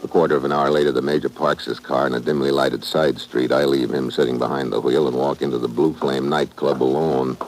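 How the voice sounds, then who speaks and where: An actor playing a hard-boiled American investigator in an old radio noir drama, with a cool, clipped, slangy delivery. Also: male voice, extremely low pitch, steady tone, a little fast, few pauses. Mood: cool, watchful. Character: hard-boiled American male investigator narrating his own case. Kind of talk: 1950s radio noir acting, first-person narration. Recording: old radio recording with loud music.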